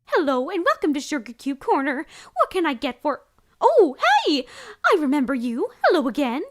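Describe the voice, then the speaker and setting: A woman's voice: high voice, big pitch swings, normal speed. A woman voicing an elderly, motherly mare who runs a bakery, fussing over customers and calling them dear. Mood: cheerful. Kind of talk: amateur audio drama acting.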